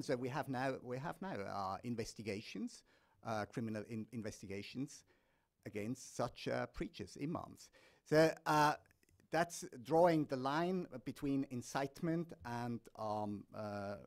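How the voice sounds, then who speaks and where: Halting male panelist in a hall. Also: medium pitch, lively intonation. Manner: halting, many fillers and restarts. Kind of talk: panel discussion answer. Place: stage of a large conference hall.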